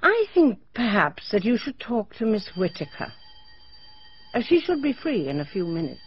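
An actress playing a formal older headmistress, measured and precise, in a radio drama. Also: female voice, mid-range voice, sing-song, a little hiss or hum, natural voice. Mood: reserved, helpful. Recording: dry but dull radio drama recording.

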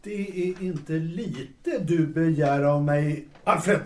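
Loyal, wounded stage player male actor, audio drama: high-pitched, earnest protest, echoey and distant. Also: a little mellow, a little hiss or hum, lightly acted. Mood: reluctant, earnest. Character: wounded, reluctant, loyal male theatre actor in 1890s Paris. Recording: echoey room, distant from mic.

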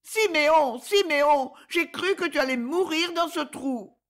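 A woman's voice: high-pitched, measured pace, pitched above the performer's own voice. A woman voicing a frightened little child who is crying, in a storybook reading. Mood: tearful. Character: small tearful child, frightened.

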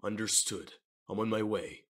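Someone playing a cold, curt, husky military commander. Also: steady tone, normal speed, close to an everyday voice. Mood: cold, stern. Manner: low, curt, stern orders. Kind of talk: fan manga audio drama acting.

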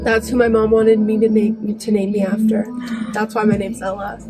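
A young woman nursing student chatting casually with a friend in a parked car, agreeing quickly and using many fillers. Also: medium pitch, completely flat delivery. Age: young adult. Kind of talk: casual chat. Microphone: phone camera on dashboard. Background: background music.

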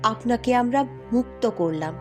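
A woman voicing a bold, commanding young princess in a cartoon, firm and deliberate. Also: a little high, a little mellow, faint music behind, lightly acted. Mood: calm, explaining. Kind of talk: cartoon voice acting.

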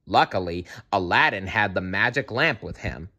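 A man reading a children's storybook aloud in an animated style, with playful asides to the listener. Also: on the low side, moderate pace. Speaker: storybook reader.

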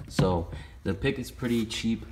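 A man's voice: fairly low pitch, unhurried, a little mellow. A man giving a casual step-by-step how-to while working on a car in a repair shop garage. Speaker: auto mechanic. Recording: echoey garage with faint noise.

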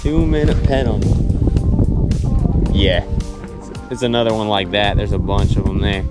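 A man chatting casually and excitably in slang while fishing outdoors on a boat. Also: on the low side, normal speed, loud music behind the voice. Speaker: angler vlogger. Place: outdoors on a bass boat on an open lake.

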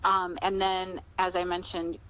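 Lower-pitched American woman, measured and explanatory. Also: monotone, a bit bright, poor audio. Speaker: author being interviewed. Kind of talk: conversational podcast interview.

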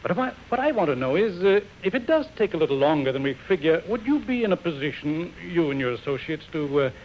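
An actor playing a polite, anxious middle-aged gentleman magnate in hesitant 1930s radio style. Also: fairly high pitch, very animated, fast. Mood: hesitant, uneasy. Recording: dull, noisy 1930s radio broadcast.

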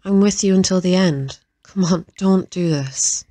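A woman's voice: on the low side, measured pace, mellow, dry recording. A woman playing an assassin's loyal, stubborn accomplice in an audio roleplay drama. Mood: protesting.

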